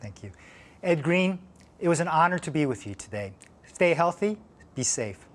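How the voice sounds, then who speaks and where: A man's voice: on the high side, expressive, normal speed. A man hosting a TV interview, reading prepared questions in a polite, formal manner. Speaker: TV interview host.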